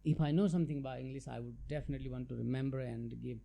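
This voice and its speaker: A man's voice: medium pitch, fairly even intonation, very fast, gravelly, dull audio. A middle-aged man from Nepal, a tax adviser answering interview questions in a thoughtful, hesitant way with many fillers. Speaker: guest, chartered tax adviser.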